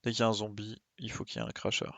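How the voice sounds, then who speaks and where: A man's voice: lowish voice, steady tone, rapid-fire, creaky, pushed and bright, no room sound. A man doing casual live game commentary, thinking aloud in informal slang and exclaiming as he plays. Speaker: game streamer.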